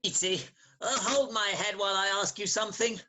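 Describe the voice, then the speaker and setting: An actor with an English accent playing a bumbling, hungover young gentleman in a theatrical farce, hesitant and stammering. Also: medium pitch, brisk, lightly acted. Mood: sheepish.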